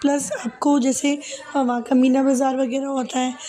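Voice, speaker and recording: Hoarse young woman vlogger, high voice. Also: flat delivery, moderate pace, mellow. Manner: chatty, many fillers and "ठीक है" asides. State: sore throat, slightly hoarse. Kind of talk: casual vlog voice-over narration.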